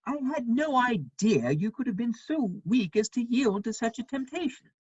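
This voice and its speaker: A woman playing a worldly, witty gentleman counselling a friend in a dramatic play reading, with wry, measured phrasing. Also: a little high, big pitch swings, husky, no room sound, highs cut off. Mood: disapproving, probing.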